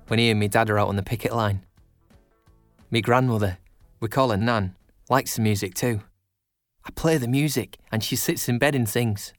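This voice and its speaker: A man's voice: medium pitch, dry recording, studio quality, lightly acted. A man playing a working-class boy who talks plainly and wistfully about family life, as in a storybook monologue. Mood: matter-of-fact.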